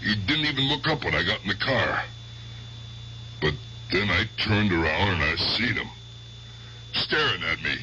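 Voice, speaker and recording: Aging tough-guy gangster, haunted, slangy, swinging pitch, forceful tone, radio drama, faintly noisy old broadcast. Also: lively intonation, moderate pace, long pauses, heavily acted. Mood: haunted, uneasy. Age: older. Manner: slangy, blustering, informal. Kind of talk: 1970s radio drama acting.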